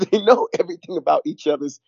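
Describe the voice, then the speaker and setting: A man's voice: sits high, animated, fairly quick, gravelly, dark tone, husky, no room sound, highs cut off. A middle-aged man, talking casually in a rambling way, repeating words and saying "you know" a lot. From New York. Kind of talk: casual conversation.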